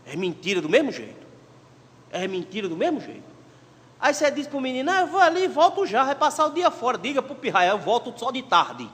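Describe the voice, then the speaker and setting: A male pastor with a Pernambuco accent delivers a sermon in a high voice. He swings between forceful exhortation and hushed asides. The sound carries the long reverb of a church. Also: big pitch swings, a little fast. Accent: Pernambuco Portuguese. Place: church sanctuary.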